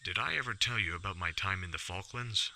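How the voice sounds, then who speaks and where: Deep, hard-edged military commander. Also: male voice, gravelly, pushed and bright, a little hiss or hum, full character voice. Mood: firm. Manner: firm, measured briefing. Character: steady, authoritative older male military commander. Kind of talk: radio drama acting.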